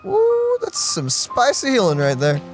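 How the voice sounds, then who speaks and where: A man's voice: very high-pitched, wildly swooping pitch, a little slow. A man co-hosting a let's play with casual, colloquial, joking gaming commentary. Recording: game music behind voice.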